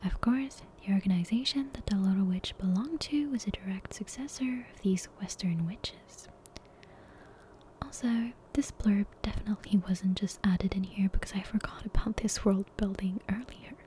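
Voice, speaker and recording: A woman reading a bedtime story for ASMR in a breathy, unhurried whisper, soft and hushed. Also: medium pitch, slight background noise. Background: soft game soundtrack ambience.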